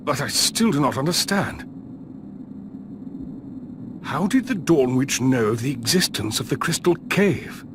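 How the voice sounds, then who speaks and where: Game role: earnest war commander, medium pitch, formal measured delivery, noisy track. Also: male voice, animated. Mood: wary. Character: grave, formal commander planning a fantasy war. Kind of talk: dramatic video game cutscene acting. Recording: noisy game cutscene audio.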